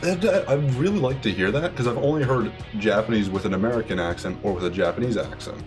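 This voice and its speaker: A young man streaming a game in excitable, casual commentary, swearing and laughing often. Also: on the low side, fast. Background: faint game music.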